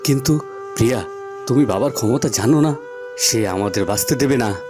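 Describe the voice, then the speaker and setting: A man's voice: mid-range voice, moderate pace, music in the background, close to an everyday voice. A man voicing an earnest, impassioned young lover, the son of a village headman, in a cartoon. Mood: urgent, pleading. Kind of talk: cartoon voice acting.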